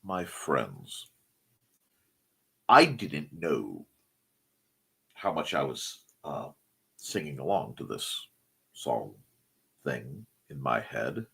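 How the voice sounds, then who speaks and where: Middle-aged male co-host, husky and slightly worn voice, slow unhurried delivery with lively swings in pitch, casual rambling chat. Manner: rambling, searching for words, wry asides. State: recovering from losing his voice.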